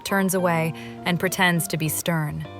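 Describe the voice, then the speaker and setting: Low-pitched woman narrating a game story. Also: steady tone, a little slow, a bit bright, faint music behind. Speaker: voice-over reader of a game story. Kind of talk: scripted first-person story narration with dialogue.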